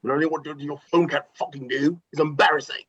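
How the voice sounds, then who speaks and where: A man plays a combative imprisoned hitman and reluctant father in a table read. He is blunt and profane, speaking quickly in a husky voice. The recording is dull and lo-fi. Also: fairly high pitch, flat delivery, fairly bright tone. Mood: angry. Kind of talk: amateur script table read.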